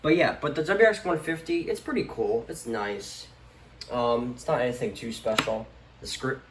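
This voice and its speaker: A man gives a casual, unscripted show-and-tell in a halting, offhand manner. His medium-pitched voice rings around an echoey room, with faint noise behind it. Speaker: vlogger showing a radio collection.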